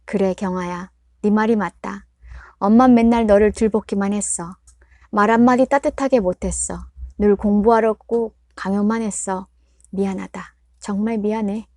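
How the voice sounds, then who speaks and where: A woman voicing a remorseful, patient mother who speaks in a soft, apologetic, pleading way. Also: medium pitch, fairly even intonation, moderate pace, no echo, studio quality, lightly acted.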